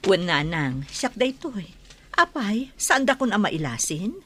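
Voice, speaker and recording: A woman's voice: medium pitch, very animated, a little slow, fairly bright tone. A woman playing an earnest, respectful married daughter-in-law in an Ilocano radio drama, with an Ilocano accent. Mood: caring.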